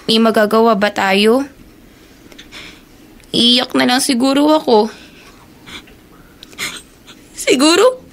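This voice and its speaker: A woman's voice: sits high, vocal fry, exaggerated voice. A woman playing a worried young schoolgirl, a younger sister, in a Filipino radio drama, with a girlish, plain-spoken manner and a Filipino accent. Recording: noisy, clinking dishes behind.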